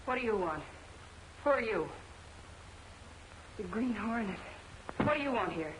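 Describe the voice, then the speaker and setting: A woman's voice: medium pitch, lively intonation, unhurried, slight background noise, natural voice, low-quality recording. An actress playing a guarded young woman in a crime melodrama, in dramatic 1940s film serial style. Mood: defiant.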